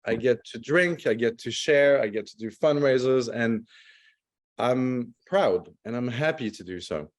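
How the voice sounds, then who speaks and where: A man's voice: mid-range voice, expressive, measured pace. A middle-aged man from Montreal, a native French speaker whose accent has Australian and South African traces, leading a wine tasting over a video call with loose, chatty, self-correcting explanations. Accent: French Canadian with Australian and South African traces. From Montreal, Canada. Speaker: wine brand ambassador.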